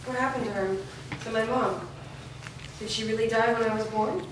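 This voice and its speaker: A woman's voice: fairly high pitch, steady tone, normal speed, close to an everyday voice. Woman acting a bewildered heroine, echoey and distant. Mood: confused, shocked. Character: bewildered wounded woman searching for lost memories. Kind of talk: staged reading drama acting. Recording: echoey room, distant mic, background noise.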